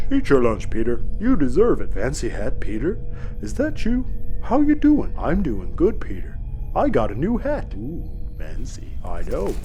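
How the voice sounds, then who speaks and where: A man's voice: mid-range voice, dark tone, poor audio. An actor playing a dim-witted, childlike spaceship crewman who talks in a naive, chatty, easily distracted way in a sci-fi audio comedy.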